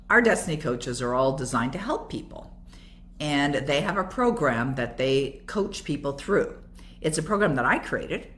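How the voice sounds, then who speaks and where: An older woman with a Canadian accent, speaking conversationally as a coach on a live Q&A and answering her own rhetorical questions as she explains. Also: very deep voice. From Toronto, Canada. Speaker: coach and author hosting a live Q&A.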